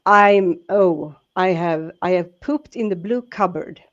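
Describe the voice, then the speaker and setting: A woman's voice: fairly low pitch, slow pace, highs cut off. A woman with a Swedish accent, chatting casually and laughing often as she searches for words. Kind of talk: casual group chat on a live stream.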